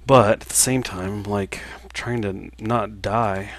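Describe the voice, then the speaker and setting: A man in his mid-thirties talks through a casual gameplay stream in a somewhat low voice. He is relaxed and unhurried, often pausing on "um" as he answers viewer questions between moves. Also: slight background noise. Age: 30s. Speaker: livestreaming gamer and game developer. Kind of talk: casual livestream chat while playing.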